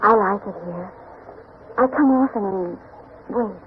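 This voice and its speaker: A woman's voice: medium pitch, unhurried, natural voice. An actress playing a shy, tender, mysterious young woman in 1940s radio drama style, wistful and hesitant. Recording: noisy, tinny 1940s radio recording.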